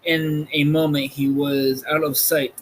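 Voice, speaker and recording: A man reading a children's storybook aloud as a podcast host, in an amateur, slightly halting way. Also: a little high, little pitch movement, a little hiss or hum.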